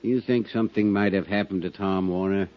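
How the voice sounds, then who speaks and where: An actor playing a gruff, folksy small-town police constable in a 1950s radio drama. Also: male voice, low voice, fairly even intonation, fairly quick, slight background noise, close to an everyday voice. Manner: folksy, stubborn, drawling. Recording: dull old radio recording.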